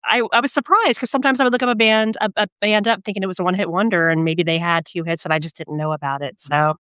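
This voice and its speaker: Woman on a casual podcast, clear voice, co-host, dry close sound. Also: mid-range voice, fast talker, fairly bright tone, no echo.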